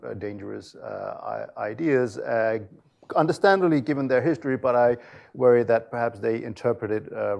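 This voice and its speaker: Gravelly-voiced author answering on a panel. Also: male voice, mid-range voice, a little mellow. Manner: earnest, analytical, searching for words.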